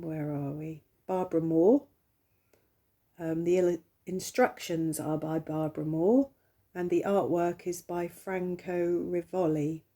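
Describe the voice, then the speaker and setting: A woman hosting a tarot vlog, talking casually in a croaky, hoarse voice, hesitant and rambling with many pauses. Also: on the low side, mellow. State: hoarse, recovering from illness. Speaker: tarot channel host. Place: at home, seated.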